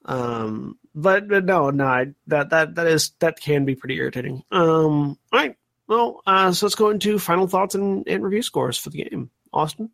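A man hosting a casual board game review podcast, speaking haltingly and often repeating his words as he goes. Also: fairly high pitch, expressive, vocal fry, a bit bright, no room sound. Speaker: podcast host.

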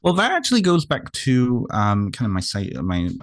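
A British man speaking as an interview guest, thoughtful and measured, often searching for his words as he reflects. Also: mid-range voice, huge pitch swings, no echo, clean studio sound. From United Kingdom, moved to Canada young. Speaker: podcast guest, author and therapist.

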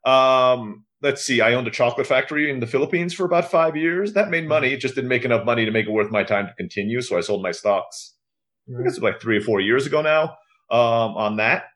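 A man's voice: medium pitch, expressive, brisk, a bit bright. A man from Istanbul, Turkey, explaining things casually and fluently as an interview guest, with self-deprecating asides. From grew up in Istanbul, Turkey. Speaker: podcast guest, entrepreneur.

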